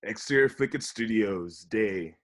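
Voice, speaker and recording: A man's voice: fairly low pitch, a little slow. A man narrating the stage directions at a table read of a comedy script.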